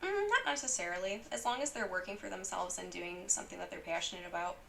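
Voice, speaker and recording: A woman's voice: on the low side, expressive, quick pace, vocal fry, sharp tone, slight background noise. A woman on a dating panel, giving short, plain answers. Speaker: interview panelist.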